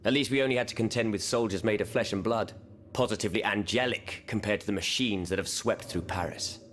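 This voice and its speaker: Game revolutionary played by a man: lowish voice, wary yet fervent, formal, eloquent rhetoric. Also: fast, fairly bright tone, slight background noise, lightly acted. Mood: grave, urgent. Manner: formal, rhetorical, sprinkled with French phrases. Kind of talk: video game cutscene acting.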